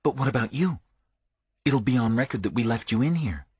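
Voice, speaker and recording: A male narrator plays a worried woman agent in a thriller audiobook. Her voice sits higher and lighter, hushed and urgent, with a slightly husky edge and a dry, close sound. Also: expressive, a little fast, dry recording. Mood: reluctant, concerned. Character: Duffy, earnest, worried female agent on a mission. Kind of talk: audiobook character dialogue.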